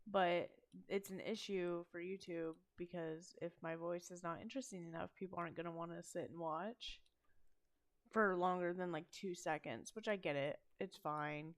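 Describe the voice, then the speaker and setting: A young woman chatting about game updates on her channel, speaking in a self-described monotone with frequent ums and trailing asides. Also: on the low side, moderate pace. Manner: monotone, hesitant with many ums. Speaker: gaming channel host. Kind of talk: casual game news chat.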